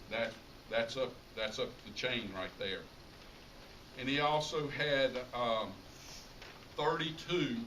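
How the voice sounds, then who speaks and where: American man, middle-aged, slightly high voice, informal public speech, emphatic and repetitive phrasing, roomy echo, noisy background. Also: pushed and bright. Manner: emphatic, repeats key figures for effect. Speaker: high school public address announcer giving a tribute speech. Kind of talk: informal tribute speech to an audience. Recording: roomy, distant mic, clearly noisy ambience.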